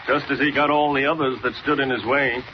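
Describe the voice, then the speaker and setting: A man's voice: medium pitch, steady tone, a little fast, natural voice. An actor playing a deferential, nervous male secretary who speaks hesitantly, in a 1940s radio drama style. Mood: anxious, hesitant. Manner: hesitant, servile. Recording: dull, noisy old radio recording.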